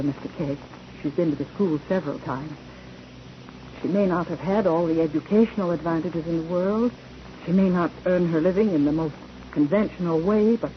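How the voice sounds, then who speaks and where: A woman's voice: fairly low pitch, close to an everyday voice. An actress playing an earnest, well-bred officer's wife in a 1940s radio drama, formal and emphatic as she pleads. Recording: muffled, faintly noisy old radio recording.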